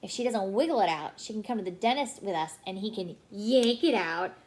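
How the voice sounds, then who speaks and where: A woman's voice: medium pitch, lively intonation, a little fast, a little mellow, lightly acted. A woman voicing a cocky, know-it-all older brother bear cub in a storybook. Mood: teasing.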